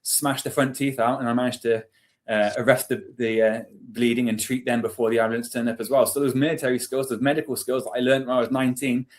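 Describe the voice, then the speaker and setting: A man's voice: mid-range voice, level tone, quick pace. British male, 40s, mid-range voice, chatty interview guest with an informal storytelling style. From England. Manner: chatty, self-deprecating. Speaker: interview guest, army veteran. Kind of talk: casual storytelling.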